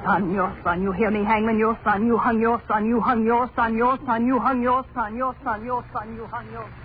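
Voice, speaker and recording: A woman's voice: fairly high pitch, steady tone, a little fast, narrow, tinny audio, close to an everyday voice. An actress playing a grieving mother who bitterly accuses the hangman, in an old radio drama. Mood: accusing. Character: grieving, vengeful mother, middle-aged woman. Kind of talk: 1940s radio acting. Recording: scratchy, thin old radio broadcast.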